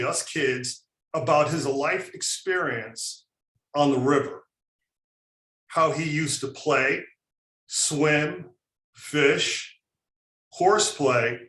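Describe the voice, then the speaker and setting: A man in his fifties from Albany, telling a personal story in a reflective, unhurried way with frequent fillers. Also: on the high side, fairly bright tone. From Albany, New York. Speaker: panelist. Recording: roomy echo.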